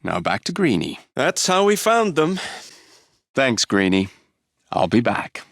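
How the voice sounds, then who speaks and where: A man's voice: mid-range voice, huge pitch swings, measured pace, vocal fry, a bit bright, no room sound, studio quality, exaggerated voice. A man playing a cocky young Victorian London gang leader in a video game, with an English accent and a cheeky, wry manner. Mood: brisk, cocky.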